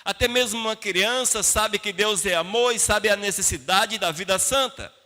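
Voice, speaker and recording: Brazilian male pastor, high voice, sharp forceful tone, didactic sermon, colloquial wording, short emphatic phrases. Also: normal speed. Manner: plain colloquial speech. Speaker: pastor preaching. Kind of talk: sermon to a congregation. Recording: long hall reverb.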